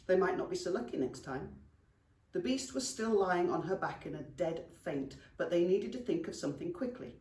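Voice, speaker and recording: A British woman reading a children's story expressively to young pupils, like a teacher at storytime. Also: fairly low pitch. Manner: animated, addresses young listeners fondly. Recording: roomy echo, distant microphone.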